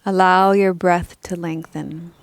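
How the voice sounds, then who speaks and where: A woman leading a guided meditation as a teacher, speaking calmly and gently in measured instructions with pauses. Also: fairly low pitch.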